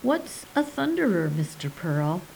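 A polite, curious woman scientist, as a reader puts her on. Her questions lift over a lively range. She sounds kind and interested. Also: on the low side, measured pace, creaky, slight background noise, full character voice, poor audio. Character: kindly female geologist, curious.

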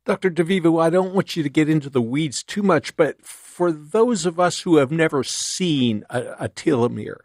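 A man interviewing a guest, asking questions in a deliberate, unhurried way. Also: a little high, lively intonation, a little mellow, dry recording.

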